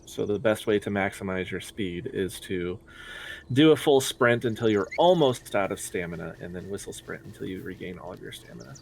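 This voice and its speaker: A man's voice: fairly low pitch, expressive, fairly quick, vocal fry, a little hiss or hum. A man commentating on a speedrun, patiently explaining the game's mechanics.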